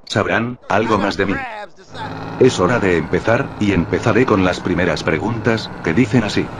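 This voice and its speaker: A man in his thirties, a gaming YouTuber, calmly explaining things in voice-over narration. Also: low voice, normal speed, noisy background. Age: 30s. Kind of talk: voice-over narration over gameplay footage. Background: background music.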